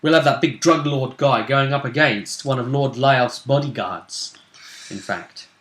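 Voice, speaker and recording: A man's voice: mid-range voice, measured pace, fairly bright tone, slight background noise. A man hosting a tabletop role-play show, previewing match-ups in a casual, speculative commentary, recorded with a roomy echo.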